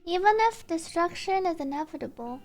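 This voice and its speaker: A woman with a very high-pitched voice reads game story lines aloud. Her delivery is emotive and dramatic, like a streamer acting along with a cutscene. Music plays softly behind her. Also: a little fast. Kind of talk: reading translated subtitles aloud.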